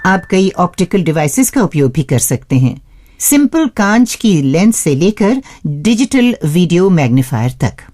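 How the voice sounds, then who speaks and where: A woman's voice: on the low side, big pitch swings, unhurried, dark tone, soft background music. A woman presenting a scripted radio show, explaining things step by step and addressing listeners as friends.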